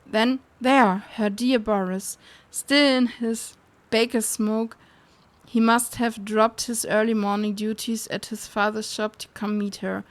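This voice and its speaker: Slow-paced, higher-voiced woman streamer reading aloud. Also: steady tone. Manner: giggly, breaking into laughter while reading. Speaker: live streamer playing a video game. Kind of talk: reading game text aloud on a livestream.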